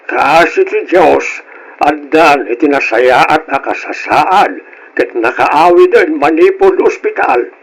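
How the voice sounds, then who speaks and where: Man acting a grave, slow-speaking old grandfather. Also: on the high side, rough recording. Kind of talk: Ilocano radio drama acting.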